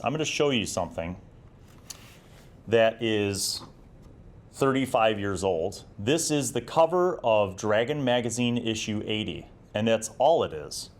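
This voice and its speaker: A man in his forties with an American accent and a fairly low voice. He talks casually and nostalgically as the host of a retro review vlog, reflective yet enthusiastic about what he shows. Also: animated, measured pace. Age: 40s. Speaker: host of a retro gaming video series.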